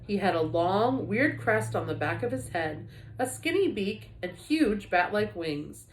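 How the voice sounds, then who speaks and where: A woman reading a children's book aloud like a teacher, with expressive, dramatic emphasis. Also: medium pitch, a little slow. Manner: animated, dramatic emphasis for young listeners. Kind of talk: expressive storybook read-aloud. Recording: echoey room.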